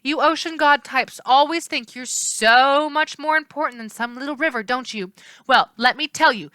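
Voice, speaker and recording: A feisty young river nymph from a storybook, acted out by a woman. Her voice is raised and bright, with clean, crisp tone. She speaks in an indignant, sassy, emphatic way. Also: on the high side, no room sound, pitched above the performer's own voice.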